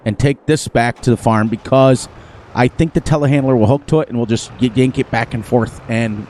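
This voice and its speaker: A man's voice: mid-range voice, fairly even intonation, brisk, darkish tone, a little hiss or hum. A man hosting a farming game playthrough in casual chat, laughing often, with a sniffly, runny nose. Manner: chatty, laughs often, playful. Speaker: gaming channel host. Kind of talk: casual gameplay commentary.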